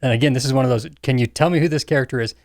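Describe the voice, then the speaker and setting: Male podcast guest-chair voice, average pitch, casual film talk, dry studio-like closeness. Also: racing, darkish tone, no echo. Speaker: podcast panelist. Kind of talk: casual chat about movies.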